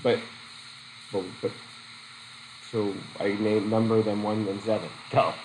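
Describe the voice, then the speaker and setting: A middle-aged man with a cold teaching a psychology methods class, halting and restarting as he questions students in a whiteboard classroom. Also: lowish voice, level tone, darkish tone, a little hiss or hum, rough recording. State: has a cold. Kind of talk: classroom lecture.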